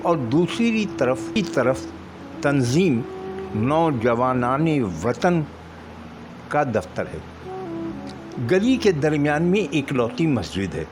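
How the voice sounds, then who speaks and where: A middle-aged man narrating a short story in a measured, deliberate way, pausing between short phrases. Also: fairly high pitch, background noise. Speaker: storyteller reading own short stories. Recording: music behind the voice. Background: music.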